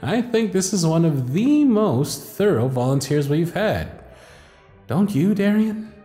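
A man's voice: sits high, big pitch swings, slow, warm tone, reverberant, faint music behind, heavily acted. A man playing a confident, flamboyant stage magician in a scripted ASMR audio roleplay, with grand, playful showman patter. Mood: charming.

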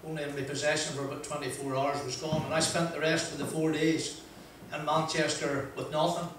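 A man's voice: on the high side, little pitch movement, fairly bright tone, distant mic, a little hiss or hum. Northern Irish man, echoey hall. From Northern Ireland. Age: older. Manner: chatty, self-deprecating, frequent "uh" pauses and laughs. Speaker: motivational speaker. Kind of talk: anecdotal motivational talk. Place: large wood-panelled function room, audience present. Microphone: handheld mic.

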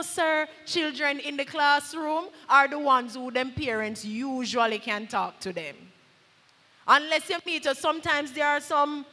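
Jamaican lady pastor giving a sermon, high bright voice, echoey church hall, chatty but punchy, bit of patois. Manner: emphatic, conversational, drops into Patois. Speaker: pastor preaching. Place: large church hall at a pulpit. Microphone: handheld microphone. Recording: echoey hall through PA microphone.

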